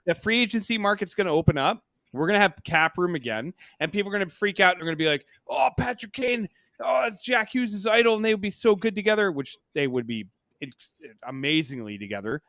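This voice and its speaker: A man's voice: high-pitched, animated, a little fast, a bit bright, no room sound. A man co-hosting a hockey podcast, giving casual, opinionated sports talk and repeating himself for emphasis.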